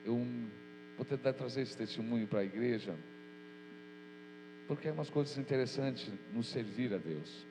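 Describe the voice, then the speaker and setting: A man's voice: mid-range voice, normal speed, long pauses. A man preaching as pastor, his voice nasal and stuffy from a cold, speaking earnestly and informally. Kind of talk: prayer and sermon. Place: church hall. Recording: echoey church hall with mains hum.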